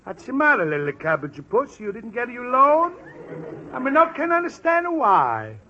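A man's voice: very high voice, wildly swooping pitch, normal speed, heavily acted. An actor playing a boisterous, bossy Italian immigrant father who talks in jovial broken English with an Italian accent, in a 1950s radio comedy. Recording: dull lo-fi old radio recording with loud hiss.

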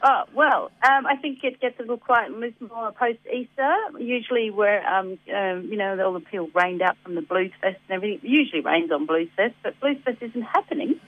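An Australian woman with a slightly gruff voice from a head cold, chatting on community radio about local events with many ums and easy laughs. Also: mid-range voice, a little fast, few pauses. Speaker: environment centre guest on community radio. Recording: dry tinny phone line.

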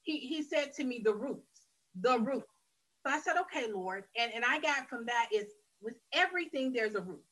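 A woman tells her own story on a faith interview show. Her voice is fairly high and bright, and she speaks earnestly and candidly, often circling back to restart a phrase. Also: expressive, normal speed, poor audio. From Detroit, Michigan, USA. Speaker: guest sharing her life story. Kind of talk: personal testimony in a faith interview.

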